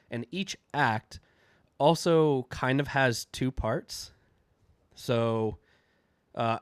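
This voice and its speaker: A man's voice: mid-range voice, no room sound, studio quality. A man with a cold and a stuffy, nasal voice, chatting casually as host of a book review video. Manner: chatty, loose, with fillers and restarts. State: congested from a cold.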